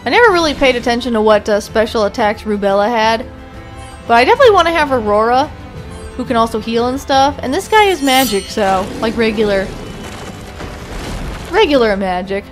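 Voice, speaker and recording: A young woman chats her way through a game, talking back at characters with mild exclamations. Her fairly high voice sounds a touch off from allergies. Quiet game music plays behind her. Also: expressive, a little fast. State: voice slightly off from allergies. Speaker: let's play gamer. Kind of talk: casual gameplay commentary.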